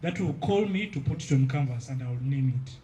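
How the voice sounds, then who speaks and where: Painter guy explaining how he picks his pictures, mellow voice, keeps checking you get it. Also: medium pitch, expressive, brisk, slight background noise. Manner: earnest, checks in with listeners often. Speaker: palette knife painter. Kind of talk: explaining his process to an audience.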